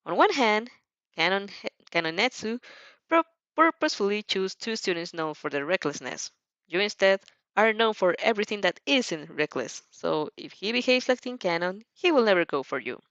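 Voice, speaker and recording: A woman reading fan fiction aloud as an expressive, theatrical storyteller who now and then stumbles over words. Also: mid-range voice, moderate pace, no echo, dull audio. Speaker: fan fiction reader and host. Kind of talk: expressive storybook narration with character voices.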